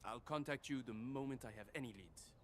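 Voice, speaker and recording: A man's voice: medium pitch, brisk, close to an everyday voice. A man playing an earnest, worried worker in a video game, speaking emphatically in defense of his friend.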